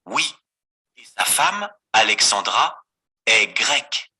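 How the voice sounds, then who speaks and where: A man voicing a scripted beginner dialogue for a French textbook, slow and clearly articulated. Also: on the low side, sing-song, pushed and bright. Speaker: voice on course audio.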